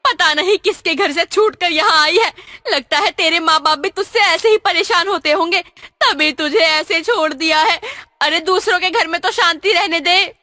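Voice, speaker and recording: A woman's voice: moderate pace, hardly any pauses, pushed and bright, no echo. A woman voicing an angry, scolding middle-aged auntie in a cartoon story. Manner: shrill scolding, harsh.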